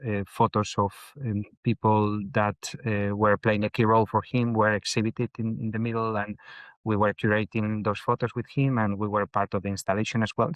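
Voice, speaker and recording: A man's voice: fairly low pitch, fairly bright tone, no room sound. A middle-aged man from northern Spain with a Spanish accent, answering interview questions thoughtfully with many hesitations. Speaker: architect and educator, guest.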